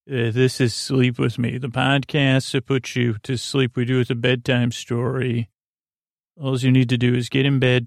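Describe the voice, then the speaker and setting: An American man hosting a bedtime podcast, with a gravelly voice and a meandering, hesitant, digressive way of talking that trails off mid-thought. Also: mid-range voice, dry recording, clean studio sound. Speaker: podcast host. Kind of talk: rambling, conversational bedtime monologue.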